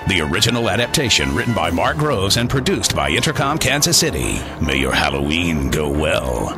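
Casting: male radio announcer, deep and varied, theatrical scripted read. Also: very animated, normal speed. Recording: music bed behind radio announcement.

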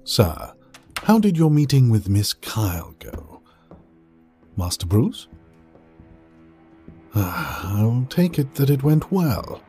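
A man's voice: medium pitch, big pitch swings, slow, pauses often, dark tone, faint music behind. A man playing a polite older butler with a formal, dry manner in a comic drama. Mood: courteous, dry.

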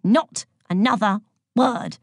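A woman voicing a pompous, bullying male schoolteacher in an audiobook, forceful and gloating, stretching out exclamations. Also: medium pitch, animated, moderate pace, vocal fry, husky, dry recording, studio quality. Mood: furious.

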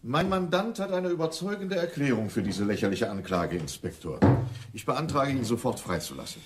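A man's voice: medium pitch, very animated, moderate pace, heavy background noise, lightly acted. A male actor playing a slick, cynical defence lawyer in a German radio crime play, formal and haughty. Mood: haughty, indignant.

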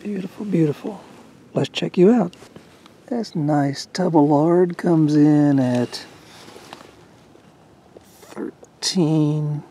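A woman's voice: low voice, drawn-out words, pauses often, warm tone, a little hiss or hum. A woman casually commenting on her fishing from a small boat on a lake, chatting folksily to the fish she catches, recorded on a boat-mounted camera. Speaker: fishing vlogger.

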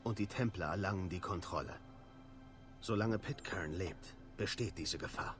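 An actor voicing a grim young warrior in a video game, serious and earnest, with a lowish voice. Music plays under him. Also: male voice, moderate pace, close to an everyday voice. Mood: grave. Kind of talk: German video game dub. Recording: game audio with music behind.

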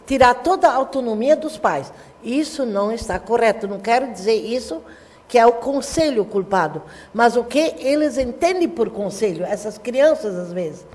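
Older woman telling stories, lively hands. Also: on the high side, in a large hall. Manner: warm storytelling, animated hand gestures. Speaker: teacher and historian, interview guest. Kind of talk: reminiscing interview talk. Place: small TV studio.

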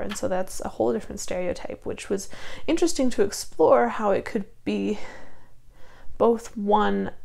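A woman's voice: mid-range voice, gravelly, darkish tone. A young woman with a cold reviewing a book in a casual vlog, rambling with many ums and restarts, recorded in a small room at a desk webcam. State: has a cold, gravelly voice. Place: small room in front of bookshelves.

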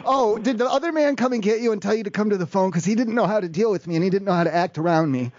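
A man's voice: sits high, very fast, darkish tone, slight background noise. A man making a prank phone call, rambling in a feigned naive way with stammering restarts. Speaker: prank caller.

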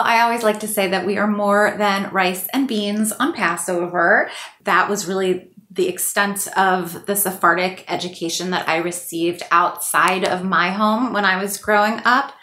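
A woman's voice: medium pitch, steady tone, a little slow, bright, forceful tone. A woman guest giving conversational, articulate answers in a podcast interview, building her points in lists. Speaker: guest author and singer. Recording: roomy, echoing podcast audio.

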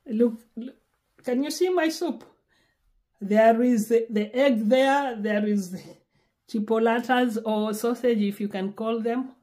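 A woman's voice: fairly high pitch, slow. Kenyan-born woman vlogging from home. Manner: warm, pleading, repeats words often. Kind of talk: casual vlog chat to camera. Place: small home, indoors. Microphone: phone camera held at arm's length.

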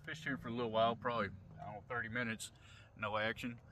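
A man's voice: mid-range voice, flat delivery, fast talker. American man vlogging outdoors, noisy distant sound. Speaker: fishing vlogger. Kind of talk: casual outdoor fishing vlog. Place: open boat on a wide river, outdoors. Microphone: camera at arm's length or across the boat. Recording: outdoor wind and water noise, often distant.

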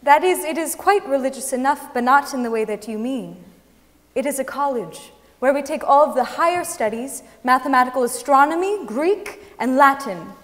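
A young woman with a very high voice reads dramatically from old letters and novels. Her voice rings with the long reverb of a concert hall. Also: animated, normal speed, a bit bright. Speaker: reader in a staged reading. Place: large concert hall stage before an audience.

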